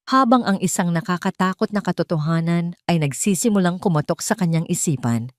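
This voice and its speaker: A woman's voice: lowish voice, a little mellow, no room sound, clean studio sound. A woman reading a scripted first-person story as a dramatic narrator.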